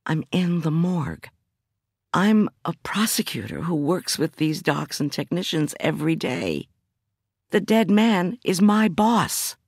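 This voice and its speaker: Narrator doing a stubborn shaken lady prosecutor, higher pitched, clipped and insistent, thriller audiobook. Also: expressive, measured pace, breathy edge, no echo, clean studio sound, heavily acted. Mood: indignant, insistent. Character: shaken, stubborn female prosecutor, curt and challenging. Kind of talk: audiobook character dialogue.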